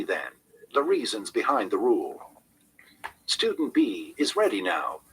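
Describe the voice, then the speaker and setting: Male narrator, crisp forward tone, animated scripted nonfiction read, faint noise on the recording. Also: mid-range voice, rough recording. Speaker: audiobook narrator.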